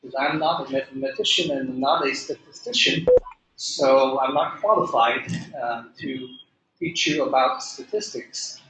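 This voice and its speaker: A man's voice: mid-range voice, measured pace. Hesitant male lecturer. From Hong Kong. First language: Cantonese. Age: middle-aged. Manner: hesitant, many fillers and restarts. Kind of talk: classroom lecture. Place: lecture room. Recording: echoey room, faint noise.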